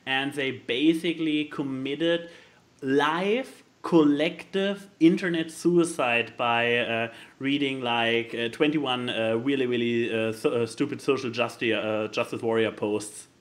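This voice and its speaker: A man co-hosting a casual podcast, speaking haltingly and stammering as he searches for words. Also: mid-range voice, steady tone. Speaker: podcast co-host.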